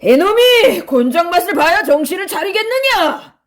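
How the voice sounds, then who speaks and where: A woman's voice: extremely high pitch, very animated, moderate pace, pitched above the performer's own voice. Woman acting a domineering, arrogant government officer. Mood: scornful bullying. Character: bullying, arrogant male government officers and magistrate. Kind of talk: children's storybook voice acting.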